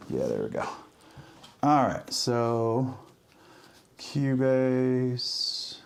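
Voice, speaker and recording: A man narrating his work casually as he goes, step by step, in short, halting phrases. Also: fairly low pitch, creaky. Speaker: livestreaming audio producer.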